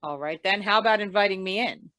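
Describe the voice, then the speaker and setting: A woman playing a deadpan, hard-boiled female investigator in an old-time radio crime noir, with dry, world-weary delivery. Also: mid-range voice, quick pace, dry recording, lightly acted. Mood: cool, matter-of-fact. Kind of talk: 1940s radio noir narration and dialogue.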